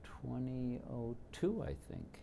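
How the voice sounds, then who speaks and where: Gravelly-voiced older man reminiscing. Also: medium pitch, lively intonation, mellow, slight background noise. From Detroit, United States. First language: Yiddish. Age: 70s. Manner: reminiscing, unhurried, with frequent fillers. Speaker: interviewee. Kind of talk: oral history interview.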